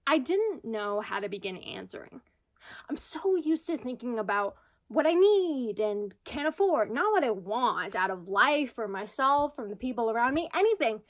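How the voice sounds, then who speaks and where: A woman's voice: a little high, sing-song, normal speed. A woman acting an anxious, self-deprecating single New Yorker in a comedic audio drama monologue about herself. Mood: agitated. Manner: self-deprecating, wry, confessional. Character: anxious single New York woman spiraling into existential crisis. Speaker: lead actor in radio comedy.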